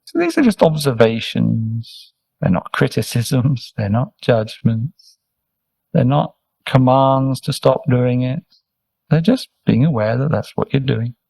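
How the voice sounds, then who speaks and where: A man guides a meditation at a very slow, unhurried pace. His voice is mellow and relaxed, with a gravelly fry at its edges. He gives gentle, soothing step-by-step instructions and leaves space between phrases. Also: mid-range voice, no echo, poor audio. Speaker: meditation teacher, Buddhist monk.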